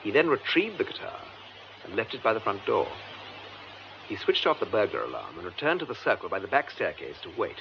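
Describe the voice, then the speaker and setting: An actor playing a composed, methodical English police superintendent in a radio drama, with measured, formal, precise delivery. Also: male voice, on the low side, sing-song, vocal fry. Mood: measured, explanatory. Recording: muffled lo-fi radio drama, faint noise.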